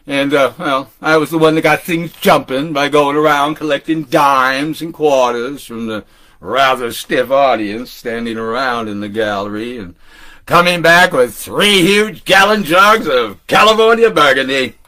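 An older man performing a theatrical monologue like a beat storyteller, animated and halting, with drawn-out words. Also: medium pitch. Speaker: solo performer.

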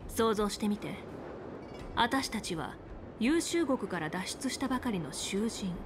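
A woman's voice: mid-range voice, expressive, a little slow, background noise, close to an everyday voice. An actress playing a confident, commanding woman general and seasoned strategist in a video game. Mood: firm, measured. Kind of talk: Japanese video game voice acting.

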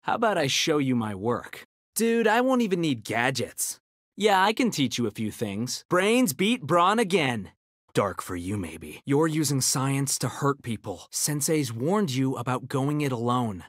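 A man's voice: medium pitch, sing-song, measured pace, a bit bright, no room sound, clean studio sound. A man voicing a brainy, cocky young ninja turtle in a video game, with an American accent and nerdy, quippy confidence. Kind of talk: video game character battle banter.

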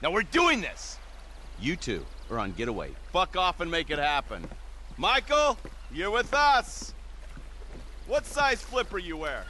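An actor playing a cocky, abrasive federal agent in a video game, with a brash, sneering, bossy delivery. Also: male voice, high voice, wildly swooping pitch, a little slow, bright, forceful tone, slight background noise, heavily acted. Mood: impatient, bossy. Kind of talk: video game dialogue acting.

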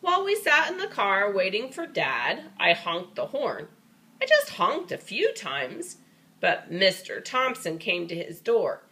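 A woman reading a storybook aloud to a child in an expressive, folksy, playful way with chatty asides. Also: mid-range voice, a little slow.

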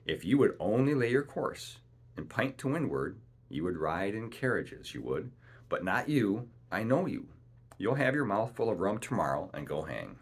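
Man voicing a husky, cunning old-time pirate cook. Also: fairly low pitch, very animated, normal speed, exaggerated voice. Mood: scornful. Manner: wheedling, boastful, rustic seafaring speech. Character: cunning, domineering pirate ship's cook, scheming and menacing.

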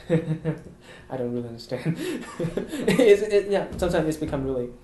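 A young man, an international student, speaking English thoughtfully in an interview with soft laughs. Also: very animated, measured pace. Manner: thoughtful, gestures, laughs softly. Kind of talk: casual interview. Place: college room by windows. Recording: echoey room with faint rumble.

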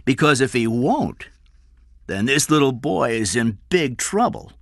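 A man's voice: medium pitch, lively intonation, measured pace, a bit bright, no echo, studio quality, pitched above the performer's own voice. A man voicing a violent, hard-hearted male kidnapper in an audiobook thriller, threatening and sneering. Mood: sneering menace.

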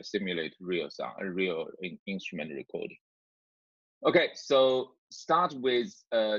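Husky low-voiced man lecturing haltingly. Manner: halting, hesitant explanations. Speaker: university lecturer. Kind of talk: unscripted online tutorial lecture. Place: indoors, online class via screen share. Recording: dull, roomy video-call audio.

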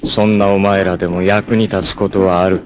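A man's voice: low-pitched, little pitch movement, moderate pace, lightly acted. A man playing a proud, vengeful martial-arts warrior in a 1980s anime, cool, ruthless and theatrical in delivery. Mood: cool menace. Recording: noisy old anime clip compilation.

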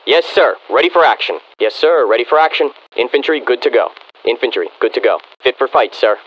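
An actor playing a disciplined American infantry soldier in a military video game, barking clipped, forceful reports to his commander. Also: mid-range voice, expressive, fairly quick, husky, dull audio. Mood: alert, forceful.

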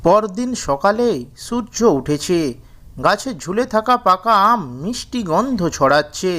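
Woman narrator, middle pitch, scripted moral tale, storytelling for children. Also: animated, a little slow, fairly bright tone.

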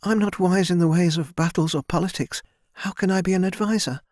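Guy doing a shy young woman, soft and unsure, high pitched, dry audiobook sound. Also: steady tone, a little fast, no echo, close to an everyday voice. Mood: hesitant. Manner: soft, hesitant, stammering. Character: timid young woman, a freed slave. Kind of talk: audiobook character voice.

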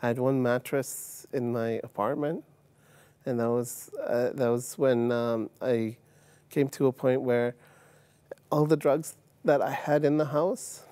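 A man from Uganda tells his story on a TV studio set, halting often with fillers and restarts. His voice is mellow and dark. It is picked up dry and close by a lapel mic. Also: medium pitch, fairly even intonation, clear, resonant tone, no echo. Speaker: guest. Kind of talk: telling his life story in a TV interview.

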